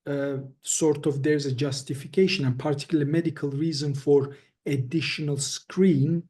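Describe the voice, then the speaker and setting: A man's voice: fairly high pitch, fairly even intonation, moderate pace, dark tone. A man answering questions as an expert guest in a webinar, explaining carefully and hesitantly, often restarting phrases, heard over a video call.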